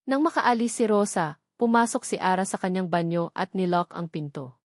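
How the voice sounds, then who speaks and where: A woman's voice: on the low side, normal speed, no room sound, studio quality. A woman reading a scripted, dramatic audiobook story as its narrator.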